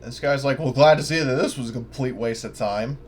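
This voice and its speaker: A man's voice: mid-range voice, fairly quick, slight background noise. A man chatting casually as a player in a tabletop roleplaying game.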